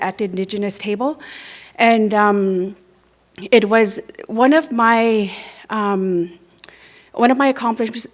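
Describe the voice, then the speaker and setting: Halting northern Canadian woman making a campaign speech. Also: medium pitch. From Northwest Territories, Canada. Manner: halting, repeats words while searching for phrasing. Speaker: political candidate, former legislator. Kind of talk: unscripted campaign speech at a forum.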